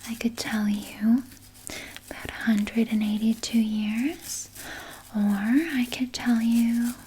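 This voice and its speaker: A woman voicing a sly, doting vampire nurse in a breathy, soothing whisper for an ASMR roleplay. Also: fairly high pitch, steady tone, poor audio. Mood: smug, coy. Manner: slow, soothing, coaxing, softly articulated. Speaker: ASMR performer.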